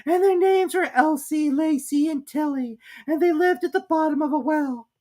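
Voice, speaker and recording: A woman voicing a sleepy, sulky male dormouse in a storybook, with a squeaky, drawn-out delivery. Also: dark tone, lightly acted. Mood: earnest.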